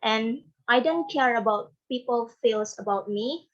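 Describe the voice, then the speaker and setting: A woman speaks slowly over a dull, lo-fi video call. She gives a class speech in a hesitant way and pauses often with "uh". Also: on the high side. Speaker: student speaker. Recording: dull lo-fi online call audio.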